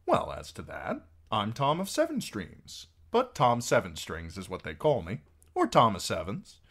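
A roguish travelling minstrel in a storybook, voiced by a man. He is genial and wry, with a very animated pitch that keeps sliding about as he teases and coaxes. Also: gravelly, husky, full character voice. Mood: genial, sly. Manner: teasing, wheedling, drawn-out. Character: wry, genial roguish wandering male singer.

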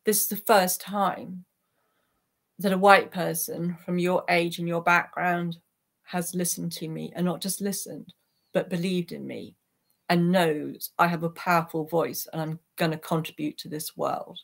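Woman, sixties, gravelly fry, low pitch, earnest, reflective interview guest. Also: fairly even intonation, a little slow. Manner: earnest, heartfelt. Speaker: interview guest, peace activist. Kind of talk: reflective personal account in an online interview. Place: small attic room at home, indoors. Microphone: computer microphone on a video call.

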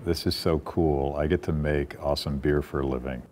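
A man in his fifties, the host, asking questions in a relaxed way during a sit-down interview in a brewery taproom. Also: a bass voice, a little fast, over music.

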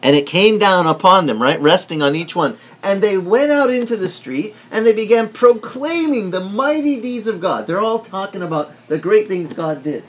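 Animated pastor, Italian native speaker. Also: male voice, high voice, moderate pace, hardly any pauses, poor audio. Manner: animated, gesturing broadly, asks the room questions. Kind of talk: teaching sermon. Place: wood-paneled indoor room.

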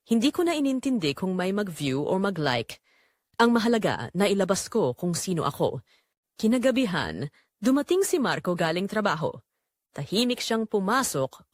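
A woman's voice: mid-range voice, sing-song, moderate pace, no room sound, studio quality. A woman reading dramatic first-person scripted narration as the narrator of an audio drama.

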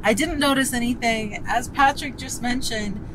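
A woman's voice: a little high, moderate pace. A middle-aged woman riding in a car and chatting to the camera in an upbeat, easygoing way. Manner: chatty, upbeat, laughs easily. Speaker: vlog co-host and passenger. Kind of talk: casual chat to camera. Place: inside a car. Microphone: camera mounted on the dashboard. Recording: clear road noise in a car.

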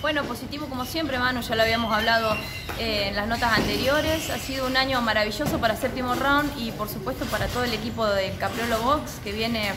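A woman's voice: a little high, brisk, heavy background noise. A woman gives long, run-on answers in a casual interview as a boxing coach. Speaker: boxing coach and gym representative being interviewed. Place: indoor martial arts gym with children training. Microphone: handheld reporter microphone.

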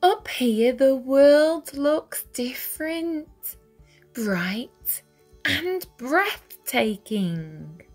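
A woman reading a children's picture book aloud with deliberate, dramatic phrasing for young listeners. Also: high voice, animated, darkish tone. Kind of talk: expressive read-aloud storytelling. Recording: music behind the voice. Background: music.

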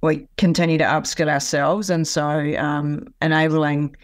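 A woman with a New Zealand accent, a dairy farmer giving considered interview answers with pauses and fillers. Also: low-pitched, little pitch movement, no room sound, studio quality. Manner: thoughtful, pausing with um and you know.

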